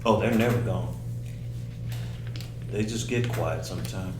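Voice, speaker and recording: Guy reading as calm wise uncle, lowish voice, matter of fact advice, roomy sound. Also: unhurried, distant mic. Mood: calm, resigned. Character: wise, steady uncle, calm and firmly advising. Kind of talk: screenplay table read. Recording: echoey room with clear background noise.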